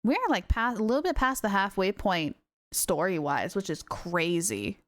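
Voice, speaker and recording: A woman livestreaming a game, chatting casually with viewers in an excitable, gushing way. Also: mid-range voice, normal speed. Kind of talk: casual live stream commentary and chat. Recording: game music behind voice.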